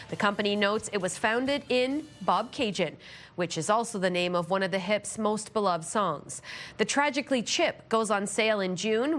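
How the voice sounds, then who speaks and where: A woman's voice: mid-range voice, fairly bright tone. A woman reading scripted local news as the anchor.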